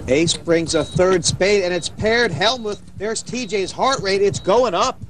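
Higher-voiced man calling poker on TV. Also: unhurried, a bit bright, low-quality recording. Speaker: play-by-play poker commentator. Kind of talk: television sports commentary. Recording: faint background noise, dated TV broadcast.

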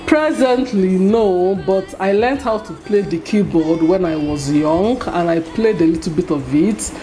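A woman in her thirties with a Nigerian accent, an Igbo speaker, talking to camera in a get-to-know-me vlog with an animated, scolding, expressive manner. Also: lowish voice, measured pace, faint music behind. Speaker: vlogger. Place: small indoor room at home. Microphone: camera at close range.

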